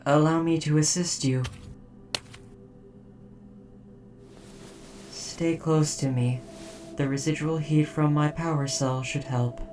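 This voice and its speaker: A performer voicing a dutiful robot soldier in an audio drama, speaking in a formal, precise, measured and softly hesitant way. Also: medium pitch, fairly even intonation, distant mic, faint music behind, natural voice. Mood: careful, caring. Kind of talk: scripted roleplay audio drama.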